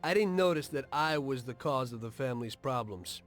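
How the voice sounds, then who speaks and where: An actor playing a betrayed, principled mobster in a game cutscene, earnest and bitterly defiant. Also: male voice, medium pitch, expressive, brisk, soft background music, lightly acted. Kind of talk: dramatic video game cutscene acting.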